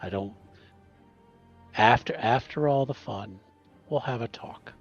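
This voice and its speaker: A creaky, husky voice speaks softly formal lines as a sober, earnest companion played by a man at a game table. He is reserved and hesitant in a tabletop fantasy game, and the sound is a bit dull. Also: medium pitch, takes it slowly, soft background music. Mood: gentle, earnest.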